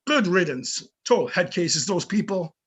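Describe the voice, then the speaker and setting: A man playing a tough but amicable older police chief in an amateur readers theater, plainspoken and opinionated, with a husky voice. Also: high voice, sing-song, normal speed, fairly bright tone, heavily acted. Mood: disdainful. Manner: plainspoken, opinionated, small-town storytelling. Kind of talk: amateur readers theater reading over videoconference.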